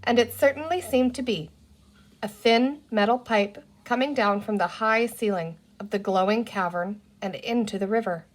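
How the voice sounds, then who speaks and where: A woman's voice: on the high side, normal speed, low-quality recording. Woman reading a children's story aloud. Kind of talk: expressive children's audiobook narration.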